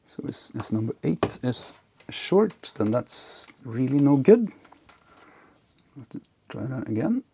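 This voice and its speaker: Guy tinkering with electronics, gravelly mellow voice, kinda halting, talks to himself. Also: mid-range voice, sing-song, a little hiss or hum. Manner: halting, muttering to himself while working. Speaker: electronics hobbyist presenter. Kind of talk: casual unscripted hands-on workbench narration.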